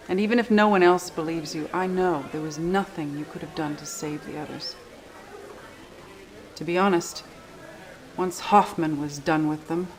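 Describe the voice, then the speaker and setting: A composed female army major from a game cutscene, played by an actress. Her voice is on the low side, and she speaks in a dry, frank, measured way. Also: close to an everyday voice, rough recording. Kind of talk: video game cutscene acting.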